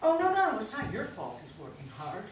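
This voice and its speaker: A woman's voice: mid-range voice, wildly swooping pitch, brisk. An actress playing a fussing, fretful middle-aged mother and hostess in a staged play reading, scolding her family and polite to guests. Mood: exasperated. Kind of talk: staged reading, naturalistic dialogue. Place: indoor staged reading, recorded from afar. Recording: echoey room, distant mic, faint noise.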